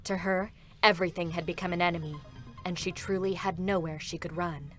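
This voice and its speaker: A woman's voice: lowish voice, steady tone, a little fast, a bit bright, soft background music. A woman giving composed scripted narration at a live reading.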